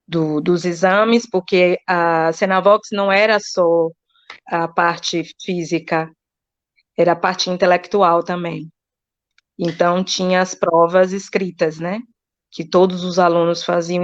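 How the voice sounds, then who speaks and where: A woman's voice: fairly low pitch, dry recording. A woman recalling her family's role in a project, reflective and full of "né" tags. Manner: slow, reflective, many "né" tags. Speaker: guest, daughter of the master. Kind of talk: storytelling in interview. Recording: dull dry sound.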